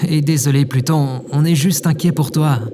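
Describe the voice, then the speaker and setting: A man's voice: a little high, steady tone, normal speed, noisy background, natural voice. An actor playing an anxious, awkward, hesitant young man in an audio drama. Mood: worried, hesitant. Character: anxious, awkward young man worried about his cat. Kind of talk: scripted audio drama acting.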